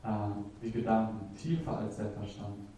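A male interpreter relaying a talk phrase by phrase, now and then pausing on a filler sound. His voice is dark and mellow. He sounds set back from the microphone in a strongly echoing room. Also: fairly low pitch, slight background noise. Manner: slightly hesitant, with filler sounds. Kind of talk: live consecutive interpreting. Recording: very echoey, from a distance.

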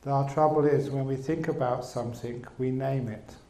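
Measured monk in a roomy hall. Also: male voice, medium pitch, fairly even intonation, dark tone. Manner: measured and unhurried, pausing in short phrases. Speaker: Buddhist monk teacher. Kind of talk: dharma talk with an interpreter. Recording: roomy echo.

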